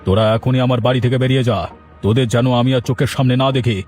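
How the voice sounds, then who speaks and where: A man's voice: mid-range voice, fairly even intonation, rapid-fire, a little mellow, soft background music, natural voice. A man playing a cruel, haughty village landlord in a cartoon, domineering and scornful. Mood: scornful, angry. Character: cruel, haughty village landlord barking threats at poor farmers. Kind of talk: Bengali cartoon dialogue acting.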